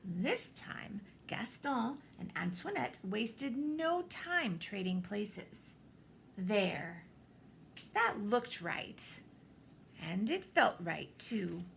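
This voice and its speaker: A woman reading a children's picture book aloud, expressively, with theatrical pauses and deliberate emphasis. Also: mid-range voice, animated, creaky, a bit bright, a little hiss or hum. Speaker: storybook reader, teacher.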